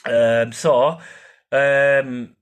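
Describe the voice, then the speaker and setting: A man's voice: mid-range voice, fairly even intonation, very slow, smooth. Rambling man, informal vlog. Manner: hesitant, repeats himself, swears casually. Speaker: amateur paranormal investigator and video maker.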